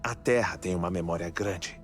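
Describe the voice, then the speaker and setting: A man with a Brazilian accent acting a grieving, eccentric scholarly scientist in a dubbed video game, telling things in a measured, reflective way. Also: low-pitched, fairly bright tone, soft background music. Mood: earnest, explanatory.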